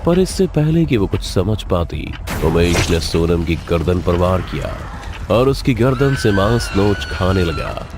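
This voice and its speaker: A man giving a scripted, dramatic narration of a horror story for a cartoon. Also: sits low.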